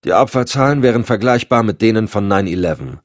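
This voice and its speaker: A man's voice: on the low side, animated, quick pace, no echo, studio quality, voice pushed up, natural voice. Man acting a curt, commanding agency chief. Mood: cool, controlled. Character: commanding male agency chief, curt, sardonic, easily irritated. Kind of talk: audiobook dialogue.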